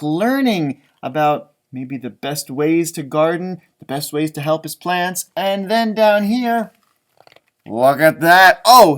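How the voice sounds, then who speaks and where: An American man reads a picture book aloud to young children in a teacher's expressive way. He draws out key words for emphasis and slips between the written story and chatty asides. His explanations are simple and aimed at small listeners. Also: fairly high pitch. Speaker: elementary music teacher reading storybooks aloud.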